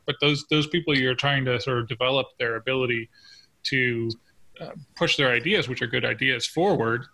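Bright, creaky-voiced man in casual discussion. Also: mid-range voice, rough recording. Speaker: podcast co-host.

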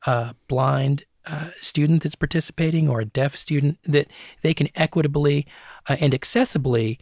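A middle-aged man answering interview questions as an advertising strategist and academic, measured and often restarting phrases, tagging points with "right?". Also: on the high side, a little mellow, no room sound, clean studio sound.

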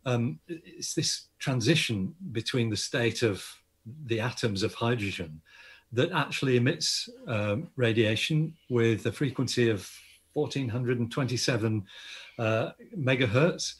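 A man's voice: mid-range voice, unhurried, breathy edge. A man with an Australian accent, an astronomer guest, explaining patiently and modestly in an interview. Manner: explanatory, self-deprecating, laughs often.

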